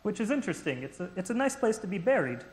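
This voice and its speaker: A man's voice: sits high, big pitch swings, quick pace. A man playing a fussy ancient male geographer in a church skit, lecturing theatrically. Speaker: skit actor. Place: church sanctuary. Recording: echoey church hall.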